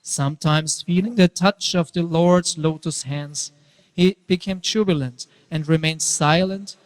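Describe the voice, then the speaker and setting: Man, narrator, measured storytelling, scripted dramatic reading, fairly high voice, echoing hall. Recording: very reverberant hall.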